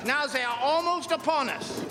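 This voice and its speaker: A man's voice: extremely high pitch, moderate pace, heavy background noise. A man playing a grave, commanding foreign professor who hunts vampires, theatrical and emphatic in a live radio drama. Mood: tense, grave. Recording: live stage in reverberant hall, loud music.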